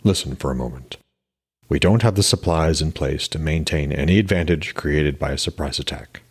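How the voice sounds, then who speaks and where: A man voicing a calm, implacable giant war chief in an even, measured manner for a fantasy audiobook. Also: a bass voice, husky, pitched below the performer's own voice, full character voice. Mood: grave, explaining.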